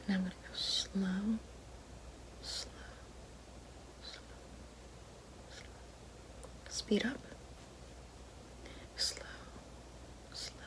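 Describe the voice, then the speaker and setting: A woman playing a vain, bitter horned evil fairy sorceress in a whispered and soft-spoken ASMR roleplay, with precise, coaxing delivery. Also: mid-range voice. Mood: soothing, coaxing. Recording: soft whispering over loud background noise.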